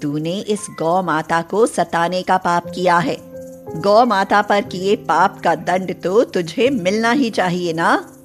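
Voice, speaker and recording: A woman playing a protective, commanding mother goddess in an animated devotional tale, solemn and maternal in manner. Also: fairly low pitch, slow pace, soft background music. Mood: stern. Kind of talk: animated devotional story acting.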